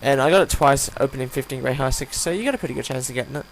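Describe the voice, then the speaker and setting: A man with a croaky, tired voice talks through casual gameplay commentary. His pitch is middling and his delivery wanders, with hesitations and side remarks. A faint hum sits behind the home recording. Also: very fast, low-quality recording. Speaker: gaming video host reviewing a weapon. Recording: home recording with audible hum, background noise.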